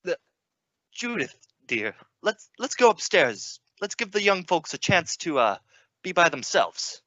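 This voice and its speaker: A genial, indulgent father acted by a man in a vintage-style radio play. He sounds jovial and coaxing, with lively swings in a bright voice. The recording is slightly dull. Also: high-pitched, unhurried, dry recording, exaggerated voice. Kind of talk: old-time radio suspense acting. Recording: slightly dull, muffled audio.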